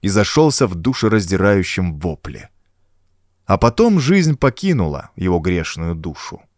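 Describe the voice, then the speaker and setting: A man's voice: low-pitched, very animated, normal speed, no echo, clean studio sound. A man narrating a horror adventure audiobook in a suspenseful, theatrical manner. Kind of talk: dramatic scripted narration of a horror adventure story.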